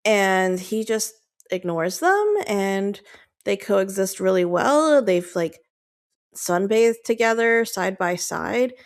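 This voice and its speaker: A woman's voice: medium pitch, animated, slow pace. A woman chatting casually as a podcast guest, rambling and affectionate, with many fillers. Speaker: podcast guest, dog owner. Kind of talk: casual chat.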